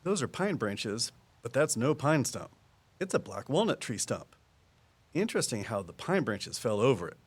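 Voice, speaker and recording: Folksy small-town conservation man, medium-pitched. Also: very animated, normal speed, husky. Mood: measured, puzzled. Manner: folksy, patient, explanatory. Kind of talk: audio drama dialogue.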